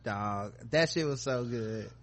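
A man's voice: medium pitch, takes it slowly. Male comedy podcast host, mid-range voice, loose and slangy, profane, conversational commentary.

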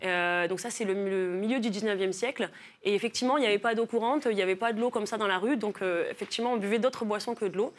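A woman's voice: medium pitch, fast. A woman from Marseille, a philosopher and author, explaining her ideas as a talk show guest with many hesitations. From Marseille, France. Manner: thoughtful, many hesitations with euh. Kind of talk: studio interview.

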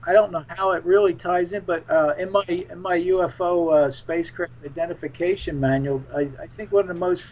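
Male interview guest: notably high-pitched voice, conversational, meandering storyteller who doubles back on phrases. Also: steady tone, darkish tone, poor audio. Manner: halting, rambling, repeats phrases. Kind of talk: conversational interview, recounting anecdotes.

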